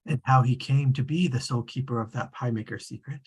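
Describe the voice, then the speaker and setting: A very husky narrator read by a performer at a table read tells a storybook tale. The delivery is measured and formal, with dry precision and an omniscient air. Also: mid-range voice, close to an everyday voice. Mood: measured, wry.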